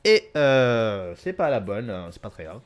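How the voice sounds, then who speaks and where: A man giving relaxed, rambling gameplay commentary with lots of fillers and repeated phrases. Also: lowish voice, measured pace. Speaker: gaming YouTuber. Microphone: desk mic at a gaming setup.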